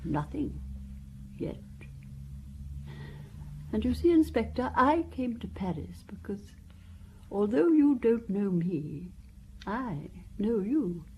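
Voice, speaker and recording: An actress playing a dainty, composed elderly widow in a radio drama, earnest and precise with dramatic pauses. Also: female voice, medium pitch, very animated, highs cut off, slight background noise. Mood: confiding. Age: older. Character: dainty, genteel, composed old French widow.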